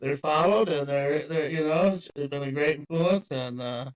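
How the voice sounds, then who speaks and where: A man's voice: on the high side, moderate pace. A man hosting a podcast in a casual chat, rambling with many fillers and trailing phrases. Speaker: podcast host. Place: a workshop.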